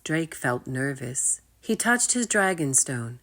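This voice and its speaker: A woman's voice: extremely low pitch, big pitch swings, takes it slowly, a bit bright. A woman reading a storybook aloud to children, expressively, speaking straight to her listeners. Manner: engaging listeners with asides.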